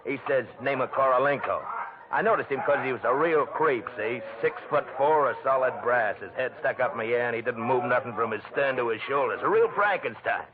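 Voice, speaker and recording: An actor playing a gabby dive-bar bartender with folksy nautical slang, in 1940s radio drama style. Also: male voice, mid-range voice, fairly quick, a crowd in the background, close to an everyday voice. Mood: gossipy. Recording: tinny, noisy old radio broadcast.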